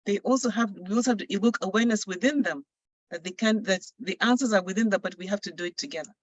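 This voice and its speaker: A woman's voice: mid-range voice, fast talker, no room sound, muffled recording. A woman with a Ghanaian English accent, speaking earnestly and persuasively as a coach moderating an online panel. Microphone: video call.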